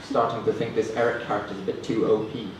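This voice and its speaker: A man performing stand-up comedy, hesitant and self-deprecating, reading parody prose in a deadpan way. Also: on the low side, fast talker, far from the mic. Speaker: stand-up comedian reading own mock novels. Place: student union comedy stand-up event, indoors. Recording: roomy, noisy live room recording from afar. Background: audience laughter, room noise.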